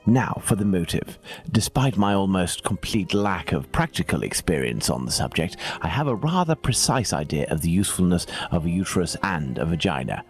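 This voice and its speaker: Deep-voiced British detective, coolly precise. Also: male voice, big pitch swings, soft background music. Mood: analytical, composed. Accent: British English. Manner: measured, formal, precise, didactic. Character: calm, analytical middle-aged male Victorian English detective. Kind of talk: dramatic video game dialogue.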